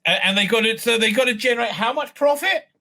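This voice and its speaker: A man's voice: extremely high pitch, lively intonation, fast talker, sharp tone. A man giving casual, unscripted online commentary, sweary, sarcastic and exasperated in manner. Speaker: online commentator reviewing audio drama releases.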